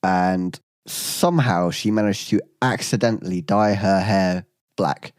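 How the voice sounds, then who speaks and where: Laid-back man, low voice. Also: measured pace, no echo, studio quality. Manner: laid-back, swears, reads news items aloud. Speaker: podcast co-host and editor. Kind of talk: casual banter.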